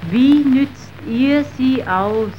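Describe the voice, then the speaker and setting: Righteous young champion of the poor, high, deliberate, declamatory radio verse, muffled noisy recording. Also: female voice. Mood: solemn, indignant. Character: compassionate, righteous young woman pleading for the poor.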